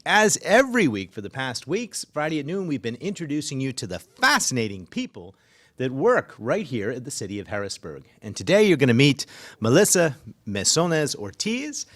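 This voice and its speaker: A man's voice: mid-range voice, fairly bright tone. A man, a city mayor, hosting a live civic talk show and interviewing a staff member, earnest and full of small fillers and restarts.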